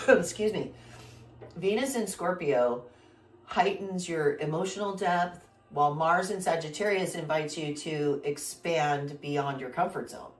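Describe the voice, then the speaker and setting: A woman's voice: low voice, roomy sound, far from the mic. A woman host giving a casual weekly tarot reading, congested from a flu, rambling with many fillers and restarts. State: congested, recovering from flu, coughing. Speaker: tarot reader, channel host.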